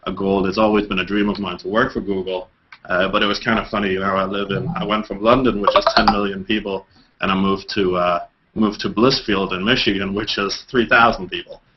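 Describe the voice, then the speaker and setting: A man's voice: low voice, level tone, brisk, muffled recording, a little hiss or hum. A man in his thirties from Denmark, a guest in a video call interview, talking with many fillers and restarts.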